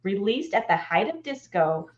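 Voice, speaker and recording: A woman's voice: mid-range voice, very animated, moderate pace, a little mellow. A middle-aged woman co-hosting a casual live show from a room at home on a video call. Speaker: co-host. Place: furnished room at home, indoors. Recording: slightly dull video-call audio.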